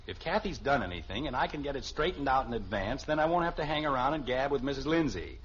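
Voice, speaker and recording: A man's voice: medium pitch, animated, quick pace, breathy edge. An actor playing an exasperated middle-aged suburban father with an American accent, flustered and wry, in 1950s radio sitcom style. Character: exasperated middle-class suburban father, easily put upon. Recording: dull, hissy old radio recording.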